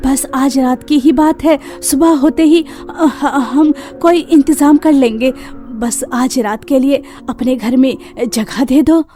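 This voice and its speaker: A gentle young daughter-in-law, voiced by a woman in a cartoon story. Her voice is mellow and high, earnest and worried. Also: slow, hardly any pauses, soft background music. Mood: pleading.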